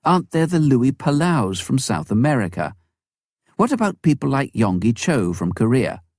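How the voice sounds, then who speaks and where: A man reading a memoir aloud as scripted narration, in a measured, clearly phrased way. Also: medium pitch, very animated, darkish tone, no echo, clean studio sound. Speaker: audiobook narrator.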